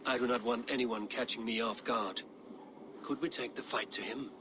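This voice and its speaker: A man playing a proud, battle-hardened Viking warrior in a game cutscene, speaking in measured, formal, poetic phrases. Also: medium pitch, fairly even intonation, background noise, close to an everyday voice. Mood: grave. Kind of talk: dramatic video game cutscene acting.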